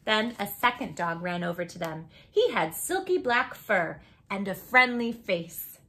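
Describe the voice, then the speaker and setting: A woman's voice: mid-range voice, lively intonation, a little slow, a bit bright. A woman hosting a children's read-aloud, narrating a storybook expressively and putting questions to her young listeners.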